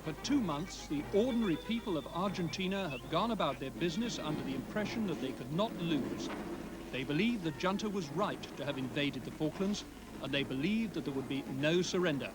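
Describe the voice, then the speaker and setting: Higher-pitched man voicing a trailer over music. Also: animated, fairly quick. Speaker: current affairs trailer narrator. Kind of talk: scripted dramatic trailer voice-over.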